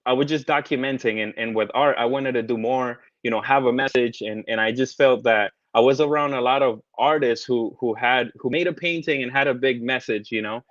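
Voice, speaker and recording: A man's voice: medium pitch, fairly quick, hardly any pauses, a bit bright, dry recording. A man in his thirties who grew up between the United States and the Caribbean, talking as a guest in a casual interview, hesitant, with many restarts and fillers. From United States, raised partly in the Caribbean. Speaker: guest, tarot artist. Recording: dry, dull lo-fi sound.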